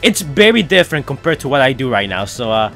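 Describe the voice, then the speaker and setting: A man's voice: medium pitch, sing-song, fast talker, creaky, fairly bright tone, soft background music. A young man with a foreign-sounding accent, rambling casually through fan questions on his channel. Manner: rambling, chatty, frequent fillers. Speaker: YouTuber answering fan questions. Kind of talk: casual Q&A chat.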